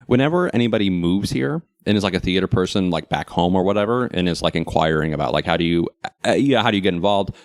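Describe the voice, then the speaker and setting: Deep, dry-recorded male co-host, chatty. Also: lively intonation, fast, dry recording. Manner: chatty, wry. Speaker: show co-host and amateur actor. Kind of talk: casual conversational chat.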